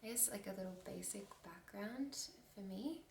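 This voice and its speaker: A woman in her twenties with an Australian accent, talking in a hushed, half-whispered, hesitant way as an ASMR creator. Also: medium pitch, moderate pace, reverberant, distant mic, a little hiss or hum. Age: 20s. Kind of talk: soft-spoken ASMR chat.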